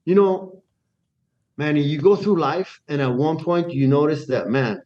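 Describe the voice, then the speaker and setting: A man's voice: a little high, a little slow. Guy from iran telling a long story in an interview, lots of restarts, acts out the quotes, audio a bit dull. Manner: animated storyteller, many restarts and quoted dialogue. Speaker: guest.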